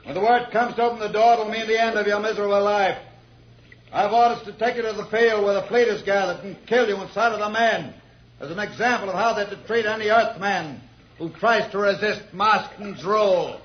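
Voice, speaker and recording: An actor playing a cruel, threatening palace guard in an old-time radio serial. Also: male voice, high voice, a little fast, natural voice. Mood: menacing. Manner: threatening, taunting. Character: menacing palace guard, cruel henchman of a tyrant. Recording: old radio recording, muffled, echoing, faint hiss.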